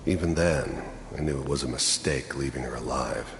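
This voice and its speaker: An actor playing a cynical, hardened middle-aged mercenary in a video game, with a gravelly voice and a wry, world-weary way of confiding. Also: male voice, moderate pace. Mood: brooding, bitter. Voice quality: gravelly, very deep. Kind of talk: video game character monologue. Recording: echoey hall reverb with background noise.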